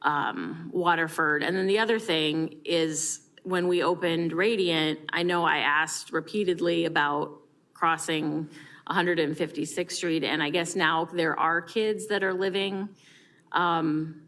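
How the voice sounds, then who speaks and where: An American woman with a fairly low voice talks through questions at a council meeting. Her manner is informal and conversational, with hesitant restarts. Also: fairly even intonation, measured pace, a bit bright. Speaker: council member. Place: large council chamber, indoors.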